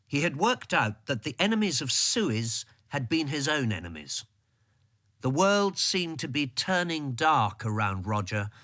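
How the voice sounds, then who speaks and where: An actor playing a reflective civil servant, narrating a radio drama in the first person, measured and articulate. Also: male voice, mid-range voice, sharp tone. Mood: sombre. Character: reflective male civil servant telling his story.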